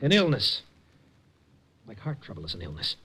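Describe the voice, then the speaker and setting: An actor playing a frightened middle-aged man haunted by darkness, in a 1950s radio drama, halting and nervous. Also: lowish voice, huge pitch swings, pushed and bright, a little hiss or hum, full character voice. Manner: nervous, halting, breaks into panic.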